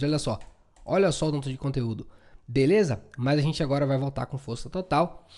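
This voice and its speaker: A man's voice: a little high, darkish tone. A Brazilian man giving an informal, chatty coding tutorial, often checking in with short tag questions. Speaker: programming instructor.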